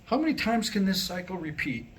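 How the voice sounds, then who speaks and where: A man with a slightly higher-pitched voice reads a comic script aloud to a live room. He tells history in a wry, joking way, posing rhetorical questions. There is light noise on the recording. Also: big pitch swings, brisk. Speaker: writer reading a comedic script aloud. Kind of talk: staged reading mixing comic monologue and history storytelling. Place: indoor staged reading with a few listeners reacting.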